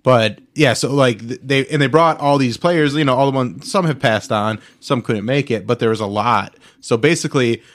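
A man's voice: medium pitch, normal speed, few pauses. A man hosting a podcast in casual chat, talking informally like an enthusiastic sports fan. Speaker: podcast host.

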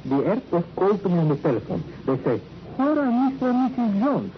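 A man playing an excitable, indignant travel agent in a radio drama, with a Spanish accent and emphatic, halting phrasing. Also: high-pitched. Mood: insistent. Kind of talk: classic radio crime drama acting. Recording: dull old radio recording, faint noise.

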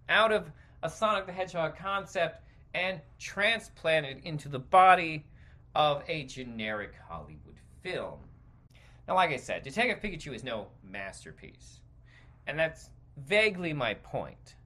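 A man's voice: fairly high pitch, sharp tone. A man hosting a podcast, delivering a casual, opinionated rant in a rambling, digressive and emphatic way. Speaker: podcast host.

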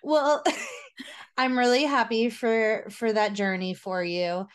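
A woman in her thirties from Florida co-hosting a casual podcast, her voice a little hoarse, laughing often. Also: on the high side, lively intonation, takes it slowly. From Florida, United States. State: slightly hoarse. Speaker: podcast co-host. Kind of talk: casual chat.

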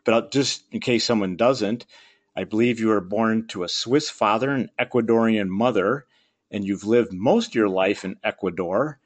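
A man's voice: on the low side, moderate pace. A man interviewing a guest, asking questions in an encouraging, complimentary way.

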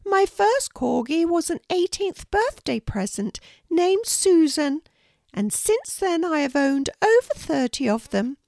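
A woman voicing a gracious, kindly elderly queen with a gentle, genteel manner in a storybook reading. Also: extremely high pitch, very animated, takes it slowly, creaky, no room sound, studio quality, voice pushed up, exaggerated voice.

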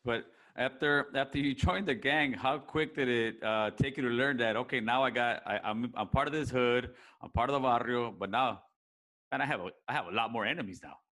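A male host with an ordinary mid-range voice leads a conversational interview. He backs his guest up with constant short affirmations and builds his own questions in a halting, restarting way. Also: medium pitch, bright, forceful tone. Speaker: podcast interviewer.

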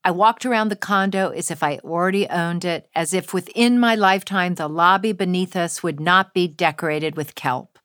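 A woman's voice: fairly low pitch, normal speed, hardly any pauses, a bit bright, no room sound. A woman writer reading her own first-person essay aloud with wry, dry humor.

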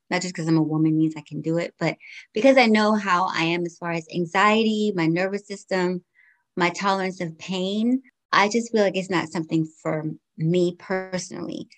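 A woman in her forties from Guyana, raised in the United States, answering interview questions in a thoughtful, candid way. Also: lowish voice. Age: 40s. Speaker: podcast guest.

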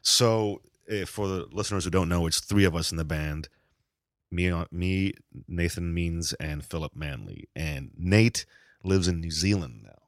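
A man's voice: sits low, unhurried, dry recording, studio quality. A middle-aged man from Argentina, a touring musician, chatting casually as a podcast guest, swearing freely and restarting his sentences. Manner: laid-back, swears freely, rambling restarts.

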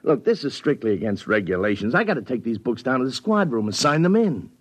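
An actor with an American accent playing a practical, world-weary city police sergeant in a 1950s radio drama, gruff and colloquial. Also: male voice, medium pitch, sing-song, quick pace. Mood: reluctant. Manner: gruff, matter-of-fact, colloquial. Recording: dull, lo-fi old radio broadcast.

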